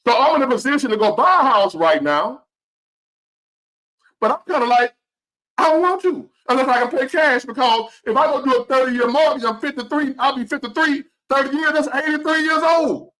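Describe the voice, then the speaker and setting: Rich: A man in his fifties with a high, bright voice gives a motivational talk straight to his viewers. His pitch leaps up and plunges down as he drives points home, repeating key phrases and dropping to a hush before rising again. The delivery is earnest and preachy. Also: expressive, normal speed, low-quality recording. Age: 50s. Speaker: motivational vlogger.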